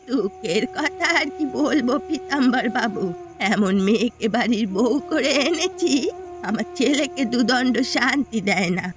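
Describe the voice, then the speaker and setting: A woman's voice: fairly high pitch, animated, a little slow. A woman voicing a poor elderly village mother-in-law in a cartoon, plaintive and complaining. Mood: aggrieved. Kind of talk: scripted cartoon dialogue. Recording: loud music behind the voice.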